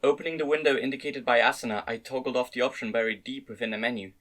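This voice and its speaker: A man's voice: medium pitch, steady tone, fast talker, fairly bright tone. A man narrating a first-person story as an amateur dramatic reading. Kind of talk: dramatic reading of fan-read light novel narration.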